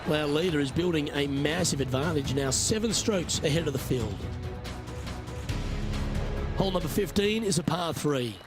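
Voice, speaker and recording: Guy doing composed golf play-by-play announcer for a video game, slightly high voice, music under it. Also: lively intonation, moderate pace, long pauses, crowd noise, close to an everyday voice. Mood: composed, informative. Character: male television golf commentator, composed and informative. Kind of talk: sports broadcast commentary in a video game.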